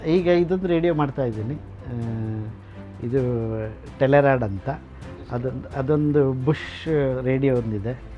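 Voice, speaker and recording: A man's voice: mid-range voice, unhurried, pauses often. A man explaining and telling stories about his collection informally, conversationally mixing in English words. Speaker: radio collector and museum keeper. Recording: dull lo-fi sound, faint music behind.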